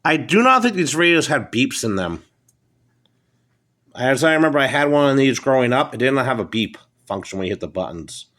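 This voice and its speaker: Middle-aged American male, mid-range voice, casual hobbyist presenter, unscripted, matter-of-fact and a bit halting. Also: mid-range voice, big pitch swings, fairly bright tone. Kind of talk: casual off-the-cuff product show-and-tell. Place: indoors at a wooden table in a home. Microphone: handheld phone, speaker behind camera.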